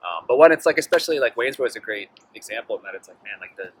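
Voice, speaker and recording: Fast-talking young man, candid and profane. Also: medium pitch, lively intonation, breathy edge. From Northern Virginia, USA. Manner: candid, rambling, swears freely, acts out quoted people. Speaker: street artist guest. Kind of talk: casual interview chat. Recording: noisy background ambience.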